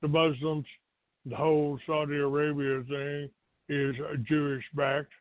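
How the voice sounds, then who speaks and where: An older American man from Texas hosting a talk show, giving a rambling, halting monologue to his listeners. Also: on the high side, little pitch movement. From Texas, United States. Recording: roomy, tinny phone-like line.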